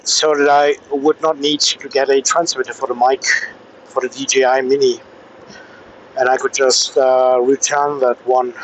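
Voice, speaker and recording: A man talking casually and haltingly, with pauses, as he vlogs from a motorcycle through a helmet intercom microphone. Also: medium pitch, level tone, poor audio. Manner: hesitant, halting delivery with pauses. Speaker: motorcycle vlogger testing gear. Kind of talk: casual vlog talk while riding. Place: outdoors, riding a motorcycle in traffic. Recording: helmet intercom with faint vehicle and air noise.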